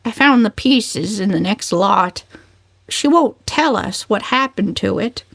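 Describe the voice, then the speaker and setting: A woman's voice: mid-range voice, animated, slow pace, creaky, fairly bright tone, dry recording, pitched above the performer's own voice, heavily acted. A woman voicing a prim, scandalized older female cousin who tattles, in a dramatic novel reading. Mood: tattling.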